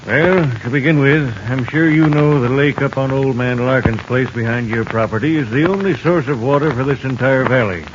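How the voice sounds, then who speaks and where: A man's voice: medium pitch, moderate pace, few pauses, lightly acted. An American man playing a plain-spoken, worried sheriff in a 1950s Western radio drama. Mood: concerned. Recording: dull 1950s radio recording, faint noise.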